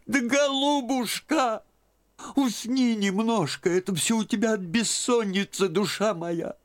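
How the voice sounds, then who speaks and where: A man voicing an excitable elderly aunt who frets and scolds in a raised voice. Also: a little slow. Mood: alarmed. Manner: shrill, fretful, scolding. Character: fussy excitable old aunt, elderly woman.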